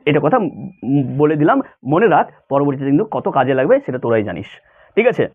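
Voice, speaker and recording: Animated male teacher on a phone-like recording. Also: fairly high pitch, fairly quick. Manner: conversational, repeated check questions and rhetorical questions. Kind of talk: informal lesson, explaining a story to school students. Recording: thin, phone-like sound.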